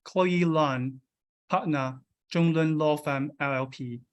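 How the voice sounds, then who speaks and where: A man emcees an awards ceremony with a polished, formal delivery. He reads out names and titles. His voice sits fairly high for a man. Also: slow. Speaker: event emcee.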